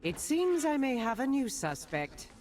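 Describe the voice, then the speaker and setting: An actress with a British accent playing a poised, dutiful police detective and sharpshooter in a video game, speaking in a crisp, formal, commanding way. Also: female voice, high-pitched, very animated, measured pace, a little mellow, faint music behind. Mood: calm, determined. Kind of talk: video game champion voice lines.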